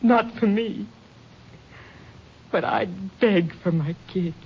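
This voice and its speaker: Plaintive, careworn ranch wife. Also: female voice, mid-range voice, slow, husky. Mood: bitter sorrow. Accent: American. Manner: plaintive, emotional, dramatic. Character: weary, bitter, impoverished ranch wife and mother. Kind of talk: 1950s radio melodrama acting. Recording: muffled vintage 1950s radio recording.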